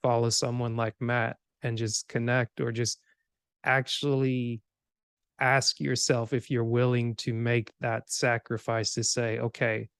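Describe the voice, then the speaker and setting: Male podcast host, informal and rambling, narrow pitch range, very dry recording. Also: flat delivery, measured pace, fairly bright tone, clean tone, dry recording. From Cameroon, grew up in Georgia, USA. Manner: informal, rambling, acts out imagined remarks. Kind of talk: casual podcast conversation.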